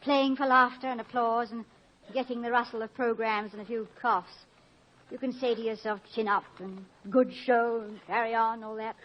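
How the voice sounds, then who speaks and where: An actress playing a college president's tender, witty wife, a former stage actress with a British accent and poised diction, in a 1950s radio drama. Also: female voice, fairly high pitch, steady tone, normal speed, natural voice. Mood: tender, wry. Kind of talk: 1950s American radio comedy-drama acting. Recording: muffled old radio recording.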